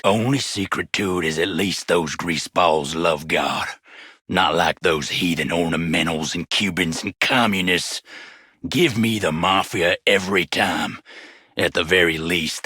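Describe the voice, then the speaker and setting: A man's voice: low-pitched, pushed and bright, breathy edge, no echo, clean studio sound, heavily acted. An actor playing a sadistic, self-righteous Southern lawman in a video game, with a folksy, preachy, menacing way of talking and a foul mouth. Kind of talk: villain monologue voice lines for a game.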